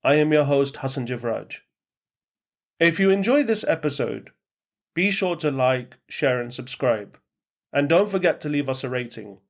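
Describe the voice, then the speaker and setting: A man's voice: medium pitch, animated, moderate pace. A man hosting a podcast, introducing a guest and asking questions in a friendly way, with casual fillers. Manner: friendly, loose with fillers like "like" and "you know". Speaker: podcast host.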